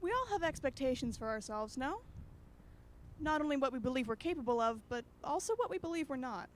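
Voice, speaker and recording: High-voiced, musing woman hosting. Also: expressive, a little fast, a little hiss or hum. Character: reflective, whimsical female storytelling host. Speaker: anthology host. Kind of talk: scripted radio anthology narration.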